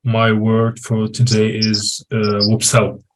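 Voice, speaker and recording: A man's voice: on the low side, completely flat delivery, slow. A man in his twenties from Syria introducing himself plainly in an online class over a video call. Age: 20s. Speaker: student.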